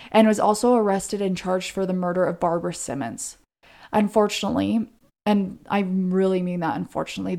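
A woman's voice: medium pitch, vocal fry, dark tone. An American woman co-hosting a podcast, telling a true-crime case in a conversational way. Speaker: podcast co-host.

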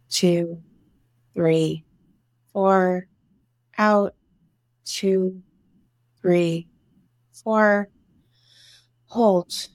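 A woman leading a guided meditation, giving soothing instructions in short phrases with long pauses between them. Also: lowish voice, a little mellow, no room sound. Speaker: meditation guide, breathwork teacher.

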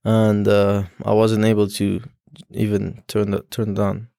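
A young man from Afghanistan whose first language is Dari Persian, speaking as a student guest in an interview, halting with frequent restarts and fillers. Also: on the low side, completely flat delivery, gravelly, dark tone, clear, resonant tone, no echo, clean studio sound. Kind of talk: casual interview.

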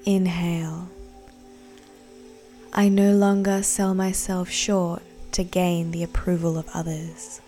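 A woman guiding a meditation in a soothing, deliberate, breathy voice that often nears a whisper. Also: fairly low pitch, little pitch movement. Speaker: meditation guide. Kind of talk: guided meditation narration. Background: soft background music.

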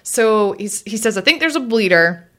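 A woman co-hosting a show, recapping and commenting casually, with wry, animated retelling and questioning asides. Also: medium pitch, moderate pace. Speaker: podcast co-host.

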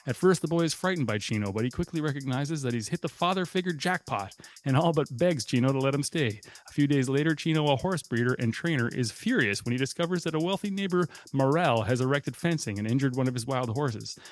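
A man's voice: medium pitch, lively intonation, fast talker, faint music behind. A man with a Canadian accent hosting a film podcast, moving between chat and prepared narration. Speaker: podcast host. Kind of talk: film podcast talk with scripted synopsis.

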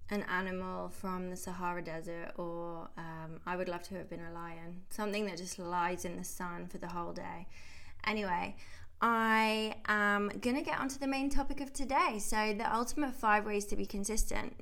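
A woman's voice: mid-range voice, fairly bright tone. A woman in her thirties, a health coach hosting her podcast in a chatty, upbeat way. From United Kingdom. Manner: chatty and upbeat, laughs easily. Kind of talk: casual podcast monologue.

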